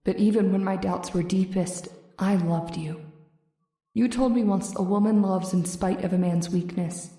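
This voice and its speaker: A woman's voice: mid-range voice, mellow, close to an everyday voice. A woman playing a tender, devoted young sweetheart, earnestly reading a letter aloud in an old-time radio drama. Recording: roomy, distant from the mic.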